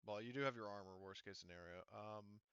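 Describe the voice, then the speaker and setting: Male game master, bright forceful voice in a dry space; casual, halting, salty-tongued delivery for tabletop play. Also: fairly low pitch, clear, resonant tone, dry recording, dull audio. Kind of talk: improvised narration and rules talk in an online tabletop roleplaying game.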